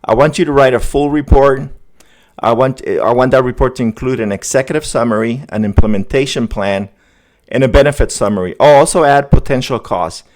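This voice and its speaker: A man's voice: mid-range voice, fairly quick, darkish tone. Casual man presenting a tech demo. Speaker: host demonstrating an AI agent setup. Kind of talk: casual screen-demo narration.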